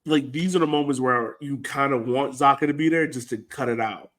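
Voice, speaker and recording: An American man chats casually about sports as a podcast co-host. His talk is informal and slangy, with a mid-pitched voice, like fans going over a match together. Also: a little fast, fairly bright tone.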